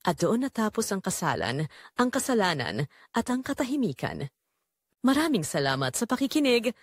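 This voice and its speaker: A woman with a Filipino accent narrating a scripted drama in the first person, measured and emotive with pauses. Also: mid-range voice, sing-song, no echo, studio quality. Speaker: storyteller.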